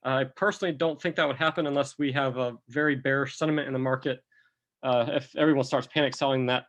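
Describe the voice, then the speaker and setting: A man talks through trading charts at an unhurried pace, explaining each step as he goes. He is a little under the weather and has a slight cough. His pitch sits in an ordinary middle range for a man. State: a bit sick with a cough. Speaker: crypto chart analyst. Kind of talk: screen-recorded chart walkthrough.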